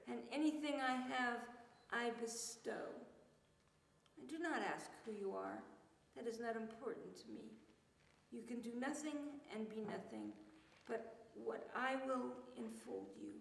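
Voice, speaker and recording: Slow, dramatic woman reciting poetry. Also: a little high, vocal fry, slight background noise. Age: middle-aged. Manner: dramatic, emphatic. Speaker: poet reading at a poetry event. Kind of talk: poetry reading aloud. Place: church room indoors, group reading. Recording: echoey hall with long reverb.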